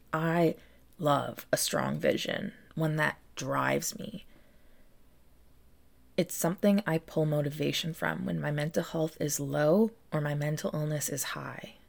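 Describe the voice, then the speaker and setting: Low-voiced woman podcaster with some creak. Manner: earnest, addresses listeners directly. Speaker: podcast host and tarot reader. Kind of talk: reflective solo podcast monologue, tarot reading.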